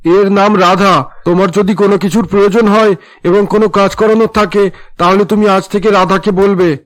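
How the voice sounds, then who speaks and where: A man acts out a stern young husband in a cartoon family drama. His voice sits high for a man, and he speaks in curt orders, brushing others aside. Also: fairly even intonation, moderate pace, natural voice. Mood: dismissive. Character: stern, neglectful young husband bringing home another woman. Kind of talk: Bengali cartoon drama dubbing.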